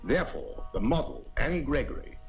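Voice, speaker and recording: An actor playing a calm, scheming villain in 1940s serial style. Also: male voice, medium pitch, moderate pace, faint music behind. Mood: coldly menacing. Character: scheming calm villain plotting sabotage and murder. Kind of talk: 1940s film serial acting. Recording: dull lo-fi 1940s film soundtrack.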